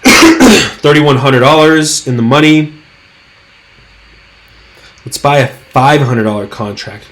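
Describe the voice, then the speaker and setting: A young man with a hoarse, worn voice hosting a trading channel, talking through stock charts in a hyped, slangy, rambling way full of fillers. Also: medium pitch. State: hoarse, losing his voice. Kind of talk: casual screen-share market commentary.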